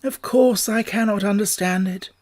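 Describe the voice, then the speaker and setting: A man's voice: sits high, normal speed, a little mellow, pitched above the performer's own voice, natural voice. A man voicing an earnest, simple-hearted scarecrow made of straw, telling things in a storybook reading. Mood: puzzled.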